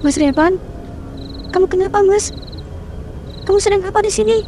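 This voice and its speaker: A woman's voice: extremely high pitch, normal speed, dark tone, faint music behind. A woman voicing an anxious young village wife in a horror cartoon, calling out and pleading. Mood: frantic. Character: young village woman searching for her husband.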